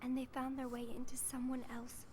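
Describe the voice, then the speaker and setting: Woman as a high-voiced gentle young girl. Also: monotone, fairly quick, a little hiss or hum, natural voice. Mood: worried. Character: gentle, soft-hearted, worried young girl. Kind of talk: video game cutscene voice acting.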